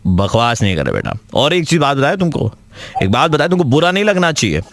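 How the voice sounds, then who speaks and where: A man playing a preachy older uncle who scolds a younger man in a condescending, calmly provoking way. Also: on the high side, brisk, a little hiss or hum. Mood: calmly needling.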